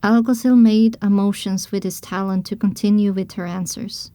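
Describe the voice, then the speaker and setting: A woman reading scripted first-person story narration for an audio drama, with expressive storytelling delivery. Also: medium pitch, moderate pace, dry recording.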